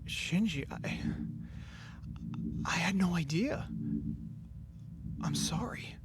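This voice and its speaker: A man's voice: high voice, slow pace. A man playing an earnest, lovestruck and stubbornly determined ordinary guy in an amateur audio drama, emotional, hesitant and then insistent. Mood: subdued, sympathetic.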